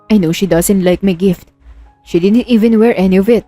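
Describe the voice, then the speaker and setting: A woman's voice: medium pitch, moderate pace, dark tone, no room sound, faint music behind, lightly acted. A woman playing a brooding, suspicious husband, stern and wounded, in a romance audio drama. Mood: wistful, hurt.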